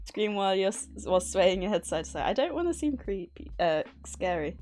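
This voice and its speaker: A woman's voice: medium pitch, very animated, moderate pace, a little mellow. A woman hosting a livestream, chatting casually in a nervous, giggly way. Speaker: livestream host. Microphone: streaming microphone at a desk.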